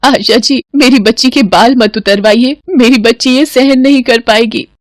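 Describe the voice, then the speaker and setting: A woman playing a gentle middle-aged mother in an audio family drama, caring, earnest and worried. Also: on the high side, fairly even intonation, moderate pace, no echo, studio quality, pitched above the performer's own voice, close to an everyday voice. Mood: pleading.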